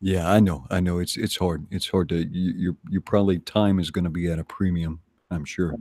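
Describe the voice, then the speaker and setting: A low-voiced man with a husky, dark tone chats casually as a guest on a stream. He speaks haltingly, rephrasing as he goes, and the sound is close and dry. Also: steady tone, no echo. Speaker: guest on a livestream, voice reader. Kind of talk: casual chat.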